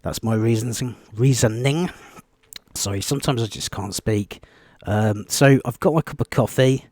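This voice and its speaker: A quiet-voiced man giving a rambling, off-the-cuff Bible study video, with many ums and restarts. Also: mid-range voice, moderate pace, no echo, studio quality. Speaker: Bible teacher.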